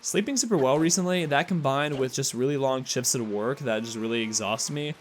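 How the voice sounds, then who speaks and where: Tired, slightly raspy man. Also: mid-range voice, a little hiss or hum. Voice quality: slightly raspy, occasional voice cracks. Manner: rambling, chatty, quick asides and chuckles. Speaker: let's play host. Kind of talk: casual gameplay commentary. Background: game music.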